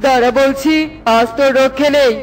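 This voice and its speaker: A woman voicing a furious, threatening eagle in a cartoon, loud and menacing. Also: sits high, level tone, brisk, faint music behind, lightly acted. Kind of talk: cartoon voice acting.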